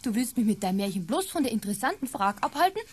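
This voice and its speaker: A teasing, curious Bavarian girl of sixteen in a radio play. Her voice is fairly high, and she chats naturally with a sceptical edge. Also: very animated, fast talker, breathy edge. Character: sixteen-year-old niece, chatty, curious, teasing and sceptical.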